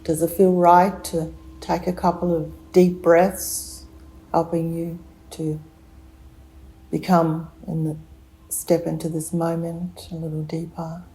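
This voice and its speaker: A woman teacher guides a relaxation meditation in a mellow, slightly low voice. Her delivery is very slow and gentle, with long pauses between short instructions. A creaky edge runs through her soothing tone. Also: flat delivery, low-quality recording.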